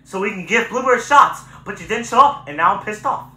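An excitable, exasperated male puppet acted out by a performer bickers through a homemade skit. The delivery is bright and exclamatory, with very lively pitch swings. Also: brisk. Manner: animated, exclamatory. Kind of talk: amateur puppet skit acting.

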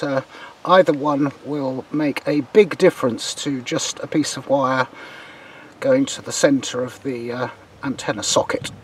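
Older British male presenter, sixties, fairly high voice, halting hands-on explainer, recorded outdoors with some background noise. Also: far from the mic. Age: 60s. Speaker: presenter of radio antenna demonstration. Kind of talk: casual unscripted practical demonstration. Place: outdoors in a large grassy garden. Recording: outdoor camcorder, clear background ambient noise.

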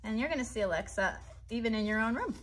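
A woman's voice: fairly high pitch, lively intonation, fast talker, a bit bright. An American woman in her thirties hosting a live craft tutorial for children, chatty and encouraging as she talks directly to them. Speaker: children's craft host and puppeteer. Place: child's bedroom set indoors.

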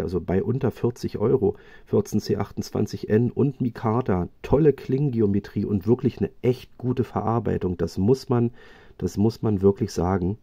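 A man reviewing knives in a chatty, casual way, often pausing with fillers and restarting his sentences. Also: fairly low pitch, gravelly, dark tone, husky, no echo. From Germany. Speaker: knife reviewer. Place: indoors at a table, hands-only video.

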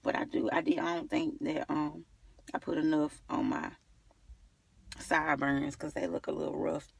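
A woman's voice: deep voice, flat delivery, moderate pace, creaky, slightly rough, dry recording. A young woman talking casually to camera as a beauty vlogger, informal and rambling as she thinks aloud. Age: young adult. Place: inside a parked car. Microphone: phone at arm's length. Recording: slightly distant phone recording.

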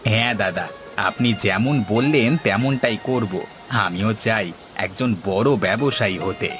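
A man's voice: fairly low pitch, unhurried, close to an everyday voice. A man playing a respectful, eager young novice trader in a cartoon, speaking earnestly and deferentially. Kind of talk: cartoon voice acting. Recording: clear music behind the voice.

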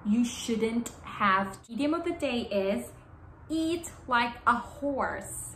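A young woman teaching English to the camera, speaking slowly and repeating each key phrase twice. Her first language is Arabic. She sits in a small room that sounds roomy, with a faint hum underneath. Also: fairly high pitch, expressive, a bit bright. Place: small bright indoor room.

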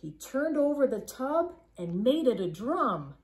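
A woman reads a picture book to children. Her voice is on the high side and swings widely in pitch. She takes her time, stressing key words playfully. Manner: animated, deliberate phrasing with playful emphasis. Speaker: nurse reading a picture book aloud to children. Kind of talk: expressive children's storybook read-aloud. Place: living room at home, on a couch.

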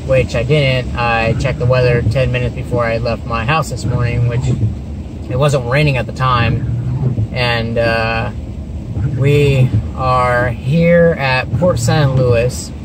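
A young man talking casually to the camera in a relaxed, chatty way with lots of fillers, first in a store and then from his car. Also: mid-range voice, heavy background noise. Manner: relaxed, chatty, self-mocking, many fillers. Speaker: fishing vlogger. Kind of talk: casual vlog talk to camera. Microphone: camera mounted on dashboard.

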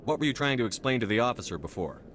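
A man's voice: medium pitch, lively intonation, rapid-fire, a bit bright, slight background noise, close to an everyday voice. A man playing a commanding human military squad leader in a video game, direct and terse in his questions. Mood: measured. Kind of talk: video game character dialogue.

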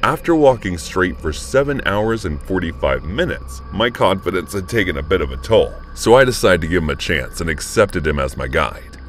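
A man narrating a first-person horror story in a studio voice-over, jumping up when indignant and going low and hushed in tense moments, over background music. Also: moderate pace, hardly any pauses, a little hiss or hum. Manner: expressive, pitch jumps up on indignant lines, drops low and quiet in tense moments.

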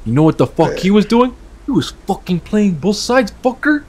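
A man's voice: sits high, very animated, moderate pace, darkish tone, slight background noise. A man playing a profane, menacing street gang boss who ends his lines with slang like fool and dog. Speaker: player in a role-play game.

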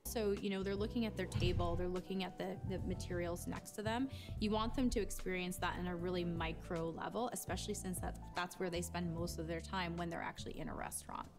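Woman designer over loud music. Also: fairly low pitch, fairly even intonation, a little fast. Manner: fluent, explanatory, uses "you know". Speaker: interior designer. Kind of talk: documentary interview. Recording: interview clip with loud background music.

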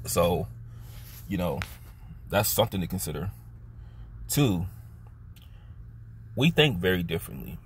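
A young man with a very low voice talks into a phone held at arm's length while sitting in his car. He speaks haltingly, with restarts and fillers, and his pitch leaps up on the words he stresses. Faint noise hums behind him. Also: very animated. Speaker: vlogger. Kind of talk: casual opinion monologue to camera. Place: inside a parked car by day. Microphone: phone camera held at arm's length.

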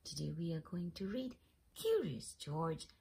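Slow, very animated woman reading a storybook, husky. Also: on the low side. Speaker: host reading picture books aloud. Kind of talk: scripted storybook read-aloud.

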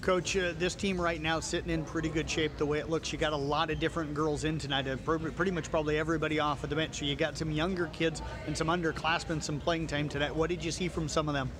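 A man working as a radio sports reporter, asking quick, friendly post-game interview questions in a school gym. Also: on the high side, flat delivery. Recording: crowd noise in a gym.

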